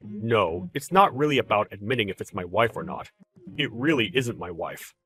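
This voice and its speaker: A man playing a calm, polite, deferential employee in a dramatized story, speaking in a measured and formal way. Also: fairly low pitch, slightly rough, soft background music, voice pushed down. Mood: calm, puzzled. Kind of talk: dramatized story narration with character voices.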